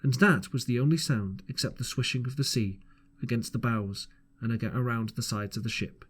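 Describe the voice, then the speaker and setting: A man's voice: mid-range voice, fairly quick, a little hiss or hum. A man narrating an audiobook as an amateur reader, earnest, with the odd stumble over the text. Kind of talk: scripted storybook narration.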